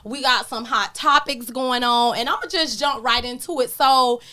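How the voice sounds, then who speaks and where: A woman hosting a casual podcast, loud and playful, laughing often with her guests. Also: a little high, moderate pace. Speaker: podcast host. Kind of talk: casual chat. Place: indoor studio room with guests. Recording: lively crowd of guests in the room. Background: group of guests chatting and laughing.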